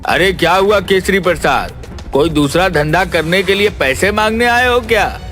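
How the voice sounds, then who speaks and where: A man's voice: high voice, normal speed, a bit bright, soft background music. A man playing a haughty, scheming fat moneylender, the villain of a cartoon village tale, pompous and taunting. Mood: smug, taunting. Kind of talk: cartoon story voice acting.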